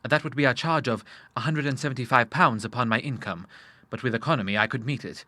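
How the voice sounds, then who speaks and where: A man's voice: medium pitch, quick pace, sharp tone, dry recording. A man voicing an earnest, honourable grown son in an audiobook, speaking formally and with emphasis. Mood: earnest, worried. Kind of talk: audiobook character voice.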